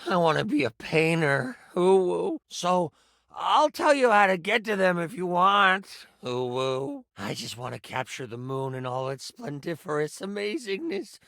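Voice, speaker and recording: A man voicing a dazed, gentle cat-like scout in a fantasy game, dreamy and spaced-out, with woozy coos. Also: sits high, sing-song, unhurried, gravelly, no echo, studio quality, full character voice.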